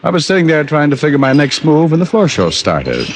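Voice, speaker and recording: An actor playing a hard-boiled private detective who narrates with deadpan wisecracks in a 1950s radio drama. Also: male voice, fairly high pitch, a little fast. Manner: wisecracking, deadpan tough-guy patter. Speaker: narrator and lead. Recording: old radio recording with band music.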